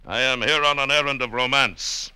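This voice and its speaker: An actor playing a boastful, amorous pirate captain in a classic radio drama, with archaic theatrical phrasing. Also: male voice, mid-range voice, steady tone, normal speed, pushed and bright, clear, resonant tone, dry recording. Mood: bold, insistent.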